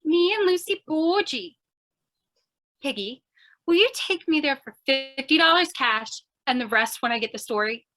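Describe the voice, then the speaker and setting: A woman's voice: high-pitched, expressive, moderate pace, pushed and bright. A woman playing a scatterbrained, chatty, eager young lady reporter, earnest and theatrical, in old-time radio crime comedy style. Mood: eager, naive.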